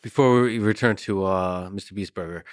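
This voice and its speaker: A man hosting a podcast interview, asking measured questions with frequent halting restarts. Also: lowish voice, no echo, studio quality. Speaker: podcast host. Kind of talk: interview conversation.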